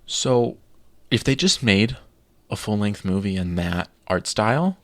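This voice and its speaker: A man's voice: fairly low pitch, lively intonation, unhurried, fairly bright tone, no room sound. A man co-hosting a podcast in casual chat, eagerly building his case point by point. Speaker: podcast co-host.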